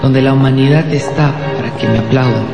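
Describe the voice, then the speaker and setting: A man's voice: medium pitch, fairly even intonation, a little fast, vocal fry, lightly acted. An actor playing a naive, eager, simple-minded young man in a radio drama, speaking in a trusting, childlike way. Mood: eager, repetitive. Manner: childlike, literal, repeats instructions. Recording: loud music bed under the voice.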